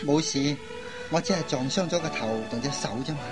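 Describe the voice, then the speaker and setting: Actor as devoted, earnest young suitor. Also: male voice, a little high, a little fast, over music, close to an everyday voice. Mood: reassuring. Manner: earnest, reassuring. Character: devoted, gentle young man, the heroine's suitor. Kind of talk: Cantonese radio drama acting.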